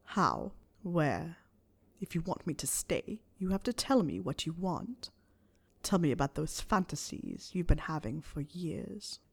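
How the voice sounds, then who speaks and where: Woman acting older male wizard, low rough growl, hissed half-whispers, slow, commanding, taunting, audiobook character. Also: very animated, exaggerated voice. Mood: demanding.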